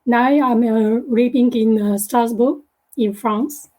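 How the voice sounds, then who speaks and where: Slow-speaking Japanese woman, mellow voice. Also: on the high side, fairly even intonation, low-quality recording. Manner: halting, searching for words. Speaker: guest speaker, graduate student. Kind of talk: informal academic presentation. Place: online video meeting.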